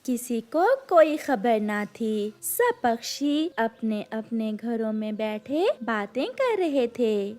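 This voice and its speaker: A woman's voice: fairly high pitch, darkish tone. A woman narrating a scripted moral story for children.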